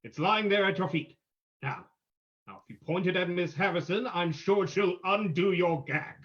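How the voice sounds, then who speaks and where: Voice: mid-range voice, moderate pace, fairly bright tone, muffled recording, lightly acted. An actor playing a mocking, commanding invisible crime-fighter in an old-time radio mystery, making ominous, taunting pronouncements.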